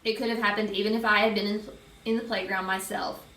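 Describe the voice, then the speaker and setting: A woman voicing an emotional, doting but sharp mother, motherly and emphatic, in a storybook. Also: mid-range voice, level tone, fast talker, reverberant, far from the mic, slight background noise, close to an everyday voice, rough recording. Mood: apologetic.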